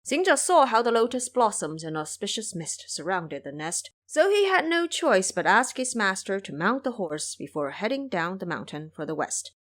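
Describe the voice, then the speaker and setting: A woman narrating a scripted audio drama in an expressive storybook manner. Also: medium pitch, normal speed, few pauses.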